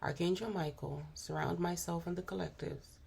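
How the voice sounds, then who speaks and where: A hoarse woman with a cold, giving a spiritual tarot reading in an unhurried, musing way with frequent pauses and fillers. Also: fairly low pitch, fairly even intonation, darkish tone, slight background noise. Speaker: tarot reader.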